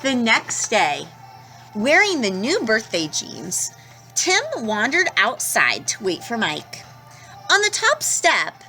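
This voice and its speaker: A woman teacher reading a children's picture book aloud, expressive and stopping to ask young listeners questions. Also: fairly high pitch, low-quality recording. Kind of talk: children's storybook read-aloud.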